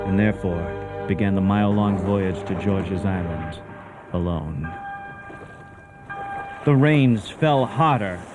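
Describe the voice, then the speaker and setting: An actor playing a grave storyteller, narrating a suspense tale for radio drama in a theatrical, deliberate manner. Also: male voice, fairly low pitch, close to an everyday voice. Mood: grave, suspenseful. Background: music.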